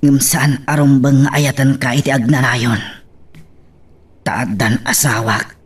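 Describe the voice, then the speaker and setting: Halting, guilt-ridden Ilocano husband, acted by a man. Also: mid-range voice, little pitch movement, breathy edge. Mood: remorseful. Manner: halting, apologetic, pleading. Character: guilty, pleading unfaithful husband and father. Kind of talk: Ilocano radio-style love drama acting.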